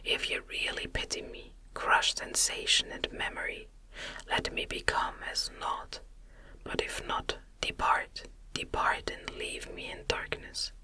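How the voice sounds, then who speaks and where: Tormented gothic storybook guy read by a woman, solemn and pleading, bright breathy whisper. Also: measured pace. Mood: despairing plea. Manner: solemn, imploring, archaic. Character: tormented, despairing man, gothic novel narrator.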